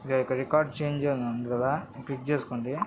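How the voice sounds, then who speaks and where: A man's voice: mid-range voice, flat delivery, rapid-fire. A man with a Telugu accent hosting a casual step-by-step app tutorial, speaking directly to viewers in an instructional way. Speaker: tutorial video host. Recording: noisy amateur recording with ambient noise.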